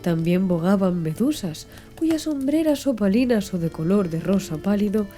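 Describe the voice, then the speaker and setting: A woman narrating a literary audiobook in a measured, expressive storytelling manner. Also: mid-range voice. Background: music under the voice.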